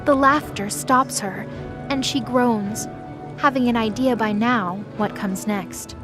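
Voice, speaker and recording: High-voiced woman narrating over music. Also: slow pace. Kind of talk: scripted story narration.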